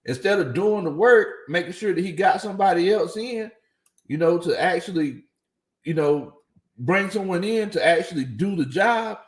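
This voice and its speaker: A man talking sports on a livestream, his fairly high voice a bit worn and tired. He keeps chuckling as he reads out chats, and the sound bounces around an echoey room. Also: lively intonation, moderate pace. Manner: laughs often, chuckling through his lines. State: voice slightly worn out. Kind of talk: casual livestream commentary, reading viewer chats.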